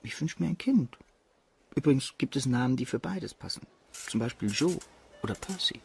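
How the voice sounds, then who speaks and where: Lively, gravelly expectant father. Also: medium pitch, normal speed, a little hiss or hum. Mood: earnest. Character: affectionate, easygoing expectant father. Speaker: actor in a crime radio play. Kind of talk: German crime radio drama acting, natural dialogue.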